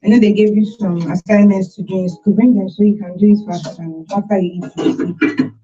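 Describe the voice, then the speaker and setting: A woman's voice: mid-range voice, steady tone, normal speed, music in the background, lightly acted. A woman with a Nigerian accent playing a busy, caring working wife and mother in a radio drama. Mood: caring, concerned. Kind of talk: amateur radio drama acting. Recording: muffled, distant, noisy recording with clicks.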